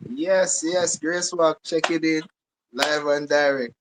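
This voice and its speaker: A man's voice: on the high side, fairly even intonation, slow, background noise. A man speaking as a YouTuber guest in a casual chat, upbeat and promotional.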